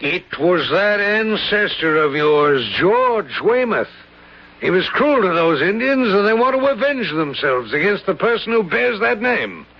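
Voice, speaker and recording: A solemn, urging colonel in a radio play, high for a man and gravelly. He presses every point with emphasis, heard on a dull lo-fi recording. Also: sing-song, unhurried, full character voice. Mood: grave, warning. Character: insistent, superstitious male colonel, solemn and urging. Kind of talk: radio drama acting.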